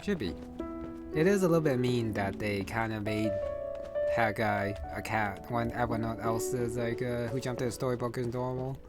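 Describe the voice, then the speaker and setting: A man's voice: mid-range voice, steady tone, moderate pace, loud music behind the voice. A man giving casual gameplay commentary in an offhand way. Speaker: gaming commentator.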